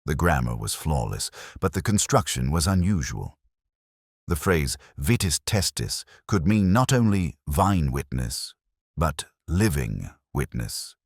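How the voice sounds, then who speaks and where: A man acting as a brooding, bookish detective inspector with an extremely deep voice and a close, dry sound. He narrates a mystery in measured, wry, literary phrases, with lively rises and falls in pitch. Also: slightly rough, no echo, exaggerated voice. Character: brooding, erudite male detective inspector telling his case. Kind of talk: scripted first-person mystery narration.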